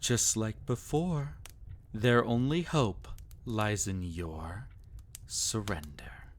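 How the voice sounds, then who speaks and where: A man's voice: fairly low pitch, takes it slowly, a little hiss or hum. A man playing a sadistic, possessive vampire in intimate ASMR roleplay, theatrical and taunting, often dropping into a whisper. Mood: possessive, arrogant. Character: sadistic, possessive male vampire toying with a captive.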